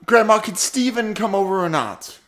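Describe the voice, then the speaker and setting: A man's voice: high-pitched, expressive, moderate pace, a bit bright. A man voicing a prepubescent grandson, eager and pleading in a casual boyish way, in an improvised comic radio play.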